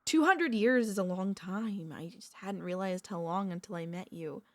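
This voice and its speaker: A woman voicing a centuries-old vampire mistress, a tender, possessive girlfriend, speaking softly and intimately with courtly wording and hesitant pauses in an ASMR roleplay. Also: medium pitch, no room sound, close to an everyday voice. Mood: tender, confiding. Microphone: close studio microphone, dry.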